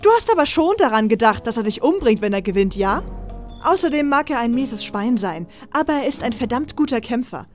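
A woman's voice: on the high side, animated, fairly quick, natural voice. A woman playing a blunt, direct female fighter in a fantasy audio drama. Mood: scolding. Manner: direct, scolding, argumentative. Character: blunt, sharp-tongued female fighter and friend. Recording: music under the voice.

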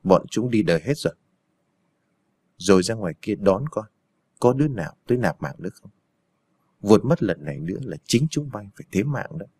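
Storybook villain, played by a male narrator: lecherous gang boss, husky, low, menacing. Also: expressive, fairly quick, long pauses, a little mellow, no room sound. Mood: barking orders. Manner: gloating, menacing, coarse commands.

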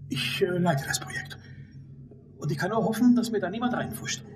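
A man's voice: sits high, fairly quick, distant mic, natural voice. A man playing a secretive, defensive scientist who leads a project, in a German radio drama. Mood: tense, defensive. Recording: echoey room, off mic.

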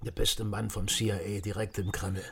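An actor playing a bitter, agitated East German agent in a radio drama, volatile and fiercely loyal, ranting and repeating himself. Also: male voice, on the low side, level tone, moderate pace, vocal fry, a bit bright, slight background noise. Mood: agitated, despairing. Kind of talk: naturalistic radio drama acting.

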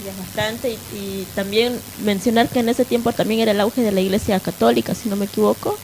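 A woman's voice: medium pitch, fairly even intonation, fairly quick, gravelly, a little mellow, slight background noise, poor audio. A woman in an informal radio discussion as co-host, speaking conversationally with trailing tag questions.

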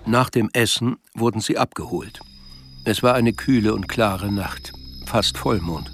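A man's voice: lowish voice, unhurried, fairly bright tone, a little hiss or hum. A man reading scripted literary narration as the sober storyteller of a radio play. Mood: sober, measured.

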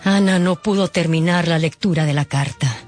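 Male radio storyteller over soft music. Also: fairly high pitch, normal speed. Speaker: narrator. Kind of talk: dramatic scripted storytelling for radio.

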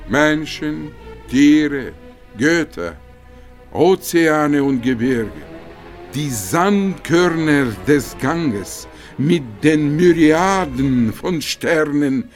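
Buddha, extremely slow, solemn proclamation. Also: male voice, on the high side, expressive, faint music behind. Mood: grave prophecy. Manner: grave, slow, prophetic proclamation. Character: solemn Buddha, a god foretelling universal death. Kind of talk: literary radio drama acting.

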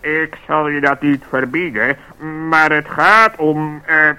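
A man's voice: fairly high pitch, slow pace, sharp tone, clear, resonant tone, full character voice. A man playing a fussy, flustered, secretive talking bird in a radio play, exasperated and correcting himself.

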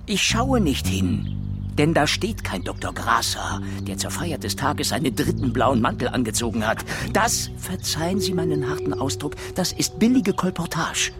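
A man playing a persistent, eloquent police inspector in a radio crime drama, speaking deliberately and theatrically. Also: on the high side, very animated, over music. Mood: indignant. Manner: deliberate, emphatic, rhetorical.

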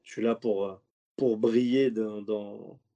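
A man's voice: fairly low pitch, fairly even intonation, slow, dark tone, muffled recording. A man who is a stand-up comedian, talking loosely in a casual interview, slangy and rambling with many restarts. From French suburbs.

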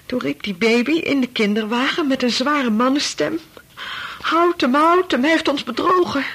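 An actress playing an anxious wife in a radio play, with a husky voice and a hesitant, fretful way of talking. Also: female voice, high voice, moderate pace, a bit bright. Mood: agitated recounting. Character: anxious wife recounting a frightening dream, fretful.

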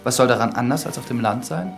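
An actor playing a reserved, educated priest in a radio drama, speaking in a measured, formal way. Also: male voice, medium pitch, creaky, faint music behind, lightly acted. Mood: surprised, polite. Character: reserved, educated priest visiting a village from town.

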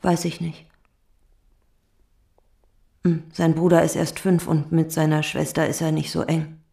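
Radio drama role: a worried, hesitant middle-aged mother, lowish and mellow in tone. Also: little pitch movement, a little slow, gravelly. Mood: worried, insistent. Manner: hesitant, plain-spoken. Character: anxious, hesitant mother of a missing teenager.

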